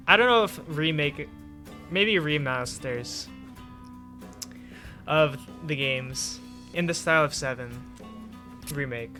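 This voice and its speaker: A man's voice: a little high, pushed and bright. Casual male streamer over background music. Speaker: game streamer. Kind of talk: casual livestream chat reacting to forum posts.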